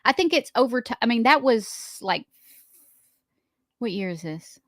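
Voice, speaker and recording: Giggly woman with a higher voice. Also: animated, measured pace, dry recording, studio quality. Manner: chatty, giggly storytelling. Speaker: radio morning show co-host. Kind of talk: casual radio banter. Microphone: studio microphone.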